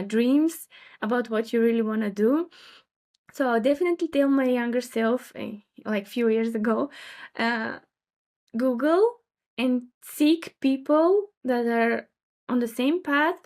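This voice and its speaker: A young woman, a freelance designer talking thoughtfully as an interview guest, with many fillers and pauses. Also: on the high side, darkish tone. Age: young adult. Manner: thoughtful, hesitant with fillers and light laughs. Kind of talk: casual interview chat.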